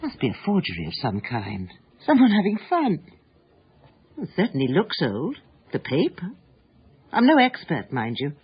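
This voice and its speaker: A woman playing a snobbish, condescending suburban wife with an upper-middle-class English accent and a husky voice in a radio drama. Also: low voice, wildly swooping pitch, normal speed, pauses often, heavily acted. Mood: surprised, intrigued. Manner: condescending, exclamatory. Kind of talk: naturalistic radio drama acting. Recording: dull, muffled radio broadcast.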